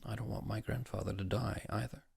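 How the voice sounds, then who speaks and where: A man's voice: lowish voice, flat delivery, moderate pace, gravelly, darkish tone. A man voicing a frowsy, worn-out expectant father in a storybook reading, with hollow, sulky sarcasm. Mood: quiet, stubborn. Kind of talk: storybook character in a read-aloud.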